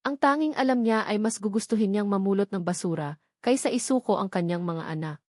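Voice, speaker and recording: A woman's voice: mid-range voice, brisk, darkish tone, dry recording. Woman narrating a dramatic love story, dry sound.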